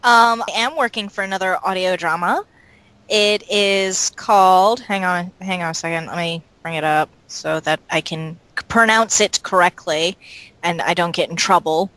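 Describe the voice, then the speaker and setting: A woman's voice: lowish voice, moderate pace, fairly bright tone, no room sound. A woman chatting casually as an interviewed voice actor, playful and informal. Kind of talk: casual chat.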